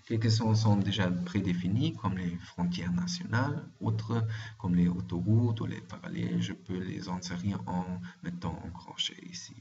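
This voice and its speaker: A man with a German accent speaking French, walking through a software tutorial with frequent hesitant fillers. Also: deep voice, normal speed, vocal fry. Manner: hesitant, frequent "euh" fillers, careful explaining. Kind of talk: screen-recorded software walkthrough. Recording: muffled lo-fi voice-over with faint hiss.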